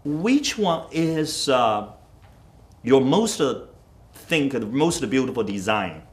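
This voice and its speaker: A middle-aged man, native Chinese speaker, hosting a TV studio interview in halting English with many fillers. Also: mid-range voice, very animated. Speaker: TV talk show host. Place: TV studio set.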